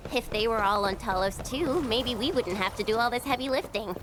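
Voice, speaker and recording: A woman's voice: fairly high pitch, fairly quick, noisy background, lightly acted. An actress playing an outspoken, curious young woman adventurer with a wry, informal manner in a video game. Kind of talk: scripted video game voice acting.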